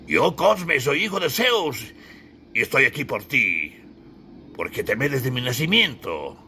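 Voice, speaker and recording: A man's voice: medium pitch, sing-song, unhurried, sharp tone, slight background noise, pitched above the performer's own voice, exaggerated voice. A man voicing a proud, grandiose Renaissance duke in an audiobook, declaiming pompously. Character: proud, grandiose Renaissance duke, self-styled son of Zeus. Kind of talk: dramatised audiobook.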